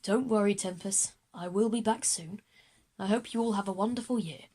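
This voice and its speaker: A woman playing a courtly, genial older male hero in an audio drama, formal and measured. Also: mid-range voice, dark tone, breathy edge, natural voice. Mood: genial, gracious.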